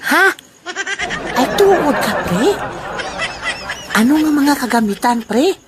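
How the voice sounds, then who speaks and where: A woman's voice: sits high, big pitch swings, slow pace, over a noisy crowd, very noisy, exaggerated voice. A woman voicing a scheming old man, a drinking buddy who chuckles and laughs often, in an amateur radio play. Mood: friendly, sly. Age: over 60.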